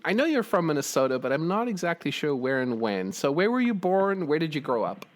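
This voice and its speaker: A man's voice: fairly high pitch, very animated, fairly quick. A man hosting a podcast, asking friendly, curious questions and often chuckling. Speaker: podcast host. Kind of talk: conversational interview.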